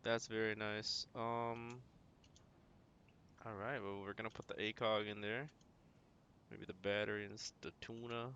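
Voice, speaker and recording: A man's voice: on the low side, flat delivery, measured pace, pauses often, bright, forceful tone, muffled recording, a little hiss or hum. A man streaming a video game, giving casual running commentary and often muttering to himself as he plays. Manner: muttering to himself while playing.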